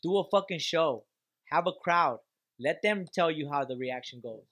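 Blunt loud-mouthed young podcast host. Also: male voice, on the high side, animated, normal speed, no echo, muffled recording. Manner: loud, blunt, swearing, slang like "dog" and "cuz". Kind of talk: casual podcast chat.

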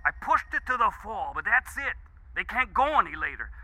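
A man's voice: high-pitched, sing-song, brisk, bright, forceful tone, full character voice, rough recording. An actor playing a brash, pushy male producer in a satirical audio drama, talking in an emphatic, bossy salesman's way.

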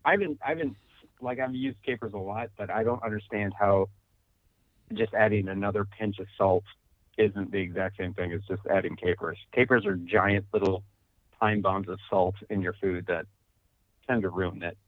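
A man with a lowish voice chats in a relaxed, storytelling way, often quoting what he was thinking. He comes through a thin, phone-like line, and the sound is dry. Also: normal speed, dry recording. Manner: laid-back storytelling, quotes his own thoughts. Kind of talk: casual chat.

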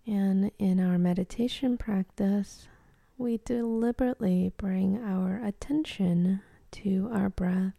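Woman, meditation guide, breathy and soft, very slow, close dry sound, calm, long pauses. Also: mid-range voice, dry recording.

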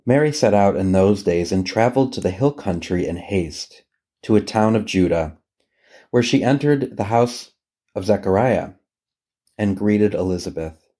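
A man with a lowish, soft-edged and relaxed voice leads a guided meditation as a priest would. He speaks gently and takes his time, leaving long pauses between phrases as he reads and reflects on scripture.

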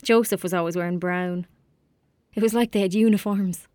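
A woman's voice: fairly low pitch, fairly even intonation, normal speed, darkish tone, no echo, natural voice. Woman acting as young rural Irish girl, earnest, imaginative, matter-of-fact, audio drama, close dry sound. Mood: musing.

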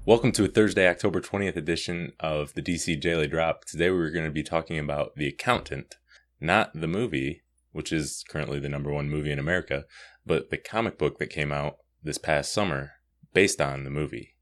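A man's voice: rumbling low, a little fast. A man hosting a podcast, talking casually through a comic book review. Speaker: podcast host.

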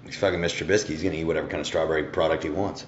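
A man's voice: rumbling low, fairly even intonation, very fast. A young man co-hosting a podcast in casual chat, laid-back, chiming in and riffing on his partner's lines. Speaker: podcast co-host. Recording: echoey room, dull lo-fi, faint hum.

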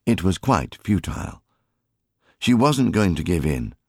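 A man narrating an audiobook as scripted literary fiction, in an expressive storytelling manner. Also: low voice, unhurried, husky, no echo, studio quality.

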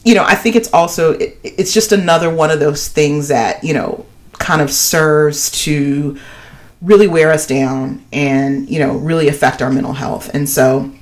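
A woman with a deep voice co-hosting a podcast in casual chat, talking emphatically and filling her speech with "you know.". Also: normal speed. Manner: emphatic, animated, many "you know" fillers. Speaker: podcast co-host.

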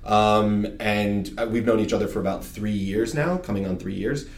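A man's voice: fairly low pitch, normal speed, echoey room, a little hiss or hum. A man hosting a podcast, opening the episode with wry jokes and then interviewing a guest. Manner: upbeat, wry, many fillers. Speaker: podcast host. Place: large conference room with windows.

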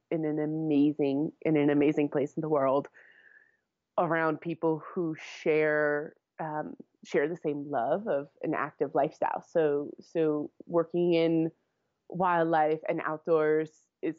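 A woman's voice: low voice, no echo. Woman guest, casual talk, hesitant restarts, dry close sound, muffled lo-fi line. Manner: thinks aloud, restarts and repeats words. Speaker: interview guest. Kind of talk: casual conversation.

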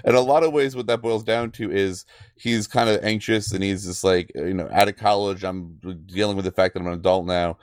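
A man with a fairly deep voice talks casually as a podcast co-host. He rambles and swears, putting things bluntly. The sound is dry, with very little room on it. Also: steady tone, a little fast, dry recording.

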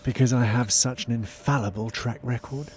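An actor playing a weary, evasive private investigator in a naturalistic audio drama. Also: male voice, medium pitch, steady tone, moderate pace, darkish tone, natural voice. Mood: placating. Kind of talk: naturalistic radio drama dialogue.

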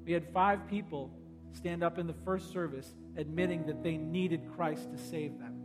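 High-voiced pastor making an urgent altar call. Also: male voice, normal speed, over music. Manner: urgent, pleading, swells loud then drops to a hush. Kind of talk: evangelistic altar call sermon.

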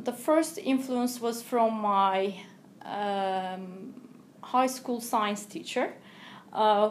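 A woman from Turkey, a researcher guest answering interview questions in a careful, explanatory way with thoughtful pauses. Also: on the high side, slight background noise.

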